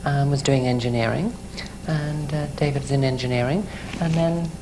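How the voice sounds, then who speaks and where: English woman in her thirties, talk show guest, deep for a woman, dry candid asides, hiss on the recording. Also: fairly even intonation, moderate pace. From England. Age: 30s. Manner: candid and wry, with casual asides.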